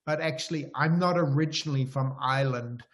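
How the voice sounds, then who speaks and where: A man's voice: medium pitch, brisk. A middle-aged or older man with a New Zealand accent giving a conversational webinar lecture as an invited professor. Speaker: professor, invited keynote speaker.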